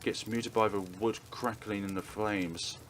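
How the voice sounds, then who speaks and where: A man's voice: on the low side, steady tone, a little slow, a bit bright, a little hiss or hum. A man reading a visual novel aloud in a dramatic narrating style as a let's play host. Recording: music playing behind. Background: game music.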